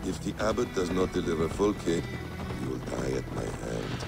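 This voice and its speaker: A man voicing a measured, stern medieval assassin in a video game, speaking deliberately like a practised storyteller. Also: a bass voice, sing-song, gravelly, loud music behind the voice, full character voice. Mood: grave resolve. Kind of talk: video game character acting.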